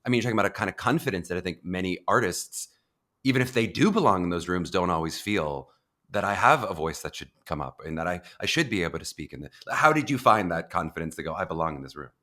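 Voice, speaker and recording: A male podcast host who talks quickly with a bright, forceful tone. His questions run long and loose, packed with "like" and "you know" as he circles toward the point. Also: deep voice, expressive. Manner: rambling, eager questions with many "like" fillers. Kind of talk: casual interview.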